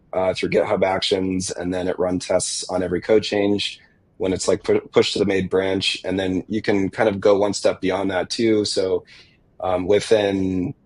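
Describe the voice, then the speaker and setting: A man's voice: low-pitched, darkish tone, dry recording, low-quality recording. A man explaining a technical workflow over a video call, losing his voice, talking steadily with fillers. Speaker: security engineer guest.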